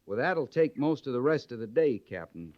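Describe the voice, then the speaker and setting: A man's voice: mid-range voice, big pitch swings, normal speed, no room sound, natural voice. Brief: male actor as colloquial frontier sergeant, mid-range, deferential, dry close sound, dull vintage recording. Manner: plain-spoken, deferential, colloquial. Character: dutiful, folksy, curious male cavalry sergeant. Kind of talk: old-time radio western acting.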